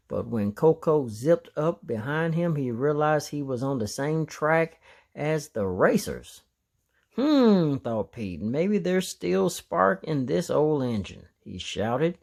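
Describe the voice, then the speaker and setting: A man's voice: medium pitch, animated, takes it slowly, a little mellow. A man reading a children's story aloud as narrator. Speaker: storybook reader.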